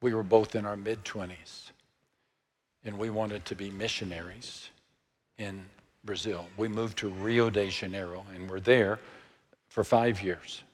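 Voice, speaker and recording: A man in his sixties with a Texan accent, preaching a sermon in a slow, measured way that sinks to hushed, near-whispered lines. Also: on the low side, steady tone, husky, long reverb tail. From Texas. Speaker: pastor.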